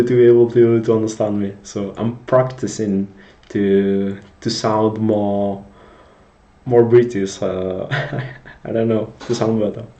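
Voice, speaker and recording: A man's voice: mid-range voice, warm tone, highs cut off. A young man from Spain with a Spanish accent, chatting casually on a live stream and restarting his words often. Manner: rambling, many restarts and repeated words, laughs often. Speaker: streamer, backend developer.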